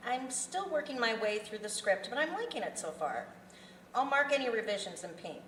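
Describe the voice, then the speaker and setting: A woman's voice: a little high, very animated, normal speed, fairly bright tone. Woman acting older female mastermind, echoey and distant. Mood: businesslike, wry. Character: older woman serial killer mastermind, bossy, chatty boss. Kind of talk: live script table read. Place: festival venue room. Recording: roomy, distant mic, faint noise.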